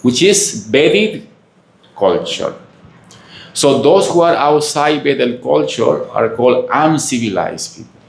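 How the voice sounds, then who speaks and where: A man from Peru with a Peruvian Spanish accent, a native Spanish speaker, giving a halting spiritual lecture full of fillers and questions to the audience. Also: medium pitch, big pitch swings, creaky. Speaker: monk giving a talk. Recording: echoey room.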